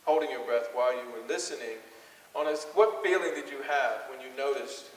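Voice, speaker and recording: A man's voice: mid-range voice, brisk. A man speaking as a workshop leader, questioning participants and explaining in an encouraging way, often repeating answers back. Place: large hall with an audience. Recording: echoey hall, distant microphone.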